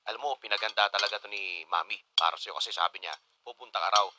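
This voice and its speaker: A man with a Filipino accent playing a playful, teasing and excitable grown son in a radio drama. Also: fairly low pitch, fast talker, background noise, natural voice. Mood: chatty, teasing. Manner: animated, teasing.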